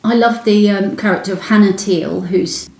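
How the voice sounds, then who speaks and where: A woman's voice: mid-range voice, fairly even intonation, moderate pace, gravelly, a little mellow. A woman interviewer putting thoughtful, conversational questions in a book interview. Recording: echoey room.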